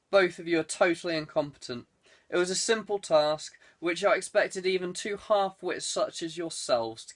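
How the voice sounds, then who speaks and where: A man's voice: fairly high pitch, a bit bright. A man voicing an angry, menacing lord and crime boss in an audiobook, commanding and contemptuous. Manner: commanding, contemptuous, bellowing when angered. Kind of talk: audiobook character dialogue.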